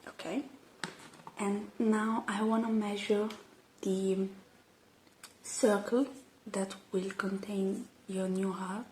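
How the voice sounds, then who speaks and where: A woman doing a soft ASMR roleplay, murmuring and whispering step by step in a hushed, hesitant way. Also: mid-range voice, level tone, slow pace, reverberant, background noise. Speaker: ASMR roleplay creator playing a robot repair technician.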